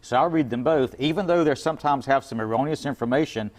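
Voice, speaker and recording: A man's voice: mid-range voice, fast. A man who owns an import car shop, giving folksy, plain-spoken advice as a guest in a TV interview, with frequent restarts.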